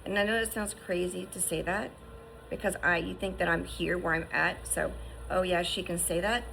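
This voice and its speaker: Gravelly low-voiced woman in her fifties. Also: fairly even intonation, moderate pace, a bit bright, a little hiss or hum, rough recording. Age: 50s. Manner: earnest, encouraging, repeats herself with restarts and "right?". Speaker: survivor sharing her story. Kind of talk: heartfelt personal testimony.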